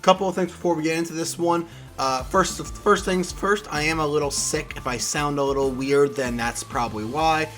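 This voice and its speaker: A man's voice: fairly high pitch, steady tone, moderate pace, fairly bright tone, soft background music. A young man with a cold and a sore throat, talking casually and rambling with many fillers and restarts as he introduces a card game deck. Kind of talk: casual deck profile vlog.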